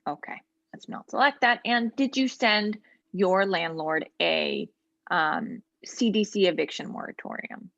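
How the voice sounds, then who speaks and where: A woman trainer speaks at a middle pitch, patiently explaining each step of an online tool. Her talk is loose and unscripted, with many ums and small asides as she clicks along. She is heard over a dull, lo-fi video-call line with a dry, close sound. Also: a little slow, creaky, a bit bright, no echo. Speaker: legal aid trainer. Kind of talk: walkthrough of online software in a role-play interview.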